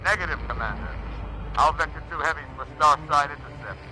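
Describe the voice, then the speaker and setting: An actor playing a hard-edged military commander in a video game cutscene, giving orders in a forceful, clipped way. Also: male voice, on the high side, fairly quick, background noise, lightly acted. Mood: commanding.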